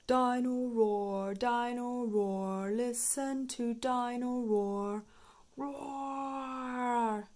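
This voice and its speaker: A woman voicing a loud toy dinosaur that roars and chants in a drawn-out sing-song for a storybook. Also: on the high side, steady tone, pitched above the performer's own voice. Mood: booming, sing-song. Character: roaring singing toy dinosaur, loud and repetitive.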